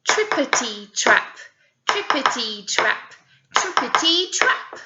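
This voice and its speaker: A woman's voice: sits high, sing-song, slow pace, very noisy. A British woman, a teacher, telling a story to young children expressively, with coaxing questions and asides, on a slightly dull recording.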